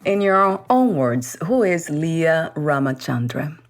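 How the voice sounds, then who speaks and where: A woman hosts an interview and asks her questions in a calm, measured way. Her voice sits very low for a woman. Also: faint music behind. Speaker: interview host.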